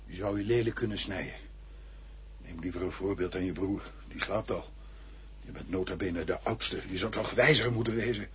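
A man's voice: low-pitched, normal speed, lightly acted. An actor playing a strict father who lectures his son in a radio play. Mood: stern. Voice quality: stern, lecturing. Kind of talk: radio drama acting. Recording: dull lo-fi recording with faint hiss.

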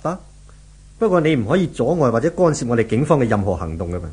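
A man's voice: mid-range voice, very animated, fast talker, darkish tone, breathy edge. A man acting as a firm, methodical police inspector in a 1970s radio drama, with a Hong Kong Cantonese accent. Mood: measured, firm. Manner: firm, commanding, probing. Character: authoritative, methodical male police inspector leading raids.

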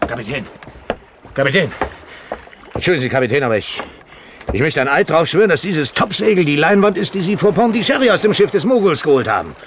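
An actor playing an eager, deferential pirate seaman in a radio play, excited and respectful. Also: a little high, quick pace. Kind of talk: German radio play acting. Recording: faint background noise.

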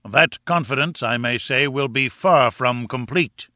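A man voicing an arrogant, domineering professor in an audiobook, booming and lecturing with insolent condescension. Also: measured pace, pushed and bright, no room sound, clean studio sound. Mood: pompous lecturing. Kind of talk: audiobook character dialogue.

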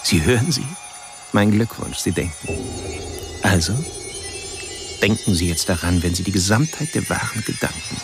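Radio thriller killer: cerebral, cold, measured monologue, very deep creaky voice, music underneath. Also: male voice. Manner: measured, deliberate, lecturing. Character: cold, cerebral male killer narrating his obsessions. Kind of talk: radio crime drama monologue.

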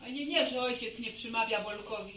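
Middle-aged village mum, fussy and plain-spoken, high voice, slightly distant roomy radio sound. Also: female voice, fairly quick, pushed and bright. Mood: worried. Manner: exclaiming, fussing, sighing over family. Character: fretful, plain-spoken village mother, bustling and worrying. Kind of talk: naturalistic radio drama acting. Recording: roomy, distant radio recording, faint noise.

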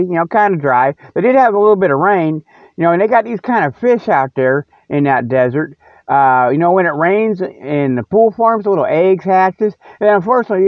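A man's voice: a little high, no room sound. Folksy rambling man on a phone-like line. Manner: folksy, colloquial, jokey, meandering. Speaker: host of a humorous mock news show. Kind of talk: rambling comic mock newscast, casual ad-libbed chat. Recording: narrow phone-like sound, dry.

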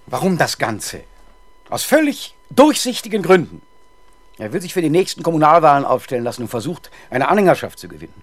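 A man's voice: fairly high pitch, big pitch swings, brisk, dry recording. An actor playing an indignant, pompous male town councillor in a 1970s German radio crime drama. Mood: indignant, blustering. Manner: blustering, pompous.

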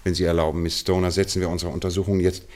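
A man playing a low-voiced, shrewd detective. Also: little pitch movement, a little mellow, close to an everyday voice. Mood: keen, intrigued. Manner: brisk, incisive, authoritative, precise. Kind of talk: German radio play acting.

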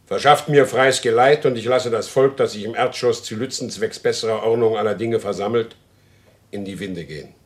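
An actor in a German radio play, playing a proud, stubborn horse dealer who demands justice in solemn, formal speech. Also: male voice, lowish voice, a little fast, close to an everyday voice. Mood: restrained resolve.

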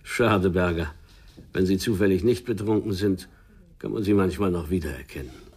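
Commanding deep-voiced police boss. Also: male voice, moderate pace, darkish tone, a little hiss or hum. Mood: mocking regret. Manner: commanding, reproving. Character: exasperated, condescending male police superior. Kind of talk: crime radio play acting.

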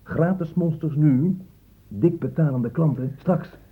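An actor in a Dutch radio play voicing a blunt, sardonic police inspector with a brusque, interrogating way of talking. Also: male voice, fairly high pitch, takes it slowly, husky. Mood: sardonic, impatient. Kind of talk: Dutch radio drama acting. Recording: muffled phone-like old radio recording.

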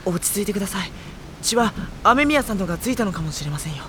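An actor playing a level-headed, politely exasperated teenage schoolboy in an audio drama, both in dialogue and introspective narration. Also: male voice, high voice, lively intonation, fast, slight background noise. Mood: calm, cautious. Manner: polite speech to his senior, dry retorts. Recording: loud background music under the voice. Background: music.

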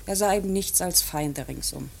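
An actress playing a grieving, earnest grown daughter in a German radio play, with a husky voice and halting, emotional delivery. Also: female voice, fairly low pitch, a little mellow, a little hiss or hum. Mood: regretful, earnest. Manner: halting, emotional, repeating words.

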